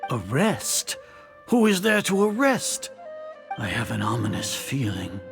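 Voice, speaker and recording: A stern older sage from a game drama. He speaks in formal, imperious questions with a creaky edge, sounding suspicious and in command over faint music. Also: mid-range voice, wildly swooping pitch, unhurried, full character voice. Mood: stern, alarmed. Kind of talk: dramatic English video game voice acting.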